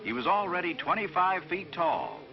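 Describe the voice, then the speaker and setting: A man narrating a scripted children's folk tale in a dramatic, expressive storytelling manner. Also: sits high, normal speed, gravelly. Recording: dull vintage recording, faint music behind.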